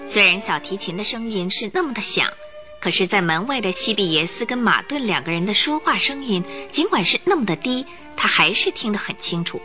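A woman narrating a radio drama in a clear, storytelling manner. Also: medium pitch, lively intonation, fairly quick, over music.

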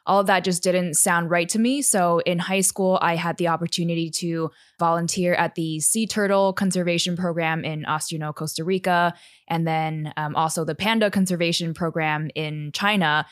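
A woman's voice: on the low side, fairly even intonation, moderate pace, no echo, clean studio sound. A young woman from Taiwan, a podcast guest telling her story in a thoughtful, articulate way. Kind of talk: interview conversation.

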